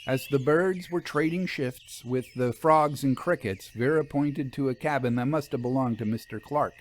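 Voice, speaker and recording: A man narrating a scripted first-person story in a measured, literary manner. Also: mid-range voice, slight background noise.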